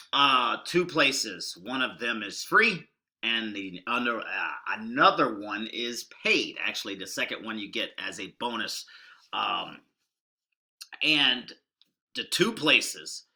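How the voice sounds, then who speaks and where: Middle-aged guy with a bright ringing voice, rambling, folksy, keeping it quiet. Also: mid-range voice. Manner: rambling, folksy and deliberately subdued. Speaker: online marketing video host. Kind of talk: casual screen-share walkthrough.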